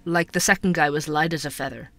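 A man's voice: fairly high pitch, fairly even intonation, fast, sharp tone, faint music behind. An actor playing an earnest young male conspiracy podcaster, narrating a scripted audio drama like a storyteller. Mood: recounting, intrigued. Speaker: podcast host.

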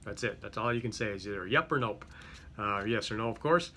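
Middle-aged male presenter, slight Greek accent, bright tone, loose and chatty, filmed close in a small office with light background noise. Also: fairly low pitch, fairly quick. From Greek-speaking home. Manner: chatty, many fillers and restarts. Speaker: company head presenting a product. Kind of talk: casual product unboxing. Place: small office with a yellow wall.

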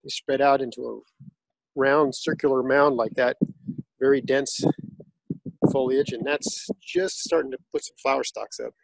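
A man giving a casual tour of his backyard garden, explaining plants in a relaxed, informative way with some fillers. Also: medium pitch, measured pace, creaky, dry recording, low-quality recording.

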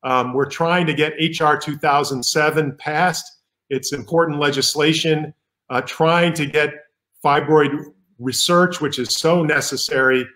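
A man's voice: fairly high pitch, fairly even intonation, a little slow, pushed and bright, poor audio. A middle-aged man, a physician, giving an earnest talk over slides on a video call, with many ums and restarts. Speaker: physician keynote speaker. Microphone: video call webcam.

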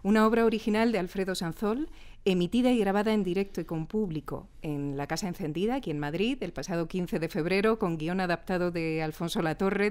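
A woman's voice: lowish voice, a little mellow, clean tone. A woman with a Castilian Spanish accent hosting an interview in an enthusiastic style, in a radio studio.

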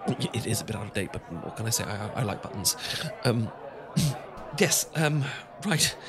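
A man's voice: on the low side, lively intonation, normal speed, crowd noise, lightly acted. A man with a British accent playing a flustered, smitten young English professor in a romantic audio roleplay, stammering and politely old-fashioned. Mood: flustered, eager. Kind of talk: romantic ASMR roleplay acting.

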